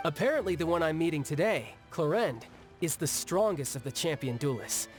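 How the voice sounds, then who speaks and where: An actor playing a breezy, confident young male fighter in a video game, speaking in a casual, smooth, conversational manner. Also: on the high side, animated, moderate pace, lightly acted. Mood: explanatory. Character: breezy, confident young male warrior who relishes duels. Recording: music behind the voice. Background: game music.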